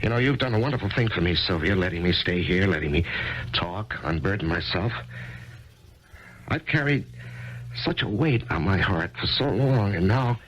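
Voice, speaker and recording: Brief: persuasive, searching widower, very deep gravelly voice, muffled old broadcast with music beneath. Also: male voice, expressive, moderate pace, fairly bright tone. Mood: coaxing. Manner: intimate, coaxing, reflective narration. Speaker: narrator and lead. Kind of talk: 1970s radio drama acting with first-person narration. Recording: muffled 1970s radio broadcast with music underneath.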